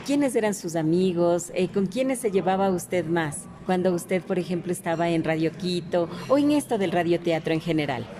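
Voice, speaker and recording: Female interviewer, slightly low pitch, conversational questions, recorded with audible crowd in the background. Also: normal speed, few pauses.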